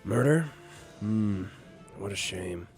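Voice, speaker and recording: A man playing a stern older boss and father in a noir audio drama, gravelly, imperious and measured. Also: lowish voice, animated, darkish tone, faint music behind. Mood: guarded. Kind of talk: noir radio drama dialogue.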